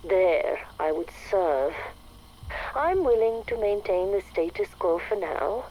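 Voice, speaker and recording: A woman's voice: medium pitch, expressive, dull audio, a little hiss or hum. An actress playing a haughty, power-hungry female head scientist in a game audio log. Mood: smug. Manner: smug, condescending, measured. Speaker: research director.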